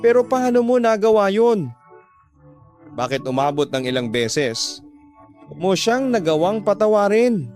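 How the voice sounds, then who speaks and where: A man's voice: sits high, very animated, a little slow, pauses often, darkish tone, voice pushed up. A man voicing a young woman in a radio drama who questions her lover earnestly, troubled and torn. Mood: shocked, questioning. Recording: music bed behind the voice.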